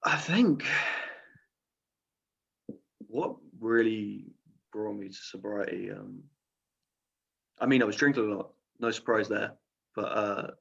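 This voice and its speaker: A man's voice: on the low side, slow pace, vocal fry, pauses often, fairly bright tone, husky, lo-fi sound. A young man speaking as a podcast guest, hesitant and rambling with lots of fillers. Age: young adult. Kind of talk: casual interview.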